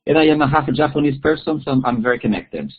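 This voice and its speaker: A man whose first language is not English, a guest speaker giving a webinar talk on Bible study, halting with many restarts. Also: fairly high pitch, animated, breathy edge. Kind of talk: webinar talk answering questions. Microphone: video call. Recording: tinny online call audio.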